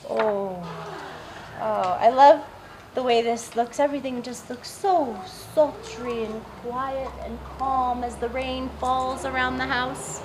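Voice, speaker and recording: High-voiced, slow woman reading to children. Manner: expressive, hushed emphasis, addressing small children. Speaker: children's story-time host. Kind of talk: children's read-aloud and chat. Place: public library story-time room. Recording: noisy room recording.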